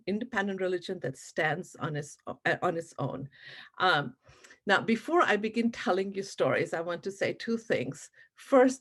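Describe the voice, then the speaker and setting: A woman from India, a native Punjabi speaker, giving an online presentation to teachers in an earnest, explanatory way, with many fillers and "right?" checks. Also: medium pitch. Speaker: educator presenting on Sikhism. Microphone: video call.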